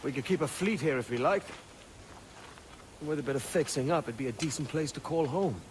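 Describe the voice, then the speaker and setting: A man's voice: fairly high pitch, brisk, slight background noise, lightly acted, poor audio. A man playing a roguish, pragmatic young pirate captain in a video game, speaking matter-of-factly. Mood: reflective. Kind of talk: naturalistic video game acting. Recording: clear outdoor game ambience behind.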